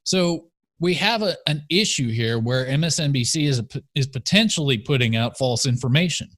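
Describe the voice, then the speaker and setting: A man's voice: mid-range voice, animated, moderate pace, fairly bright tone, no echo, studio quality. An American man hosting a podcast in casual talk, with joking, sarcastic asides. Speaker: podcast host.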